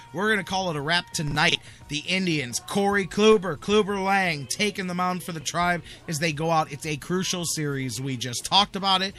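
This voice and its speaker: A man with a higher-pitched, bright and punchy American voice hosts a sports talk show. He speaks casually and slangily, hammering phrases by repeating them for emphasis. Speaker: sports talk radio host.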